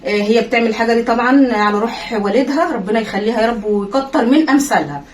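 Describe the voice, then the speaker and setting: A woman's voice: fairly high pitch, expressive, rapid-fire, echoey room, slight background noise. A woman with an Egyptian Arabic accent chatting casually in a home vlog, cheerful and encouraging, laughing often. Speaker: vlogger.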